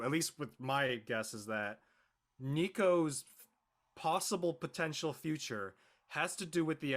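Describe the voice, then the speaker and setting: A man's voice: mid-range voice, a bit bright. A man speaking casually as a panelist in a post-show discussion. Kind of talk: casual chat.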